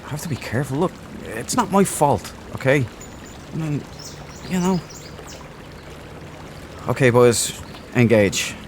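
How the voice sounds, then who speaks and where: A man's voice: medium pitch, lively intonation, unhurried, pauses often, a little hiss or hum. A man giving casual, jokey and crude commentary as a let's play host.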